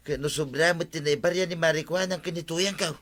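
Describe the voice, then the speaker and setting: Bright-voiced nosy Ilocano neighbour man. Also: a little high, fairly even intonation, a little fast. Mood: curious. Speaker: radio comedy actor. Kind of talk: Ilocano comedy drama acting.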